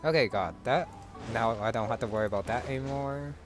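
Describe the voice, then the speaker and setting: A man's voice: mid-range voice, a little fast. A man giving casual let's-play commentary, musing to himself in short remarks as he plays a video game. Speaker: gamer commenting on his playthrough. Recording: game music under the commentary. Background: game music.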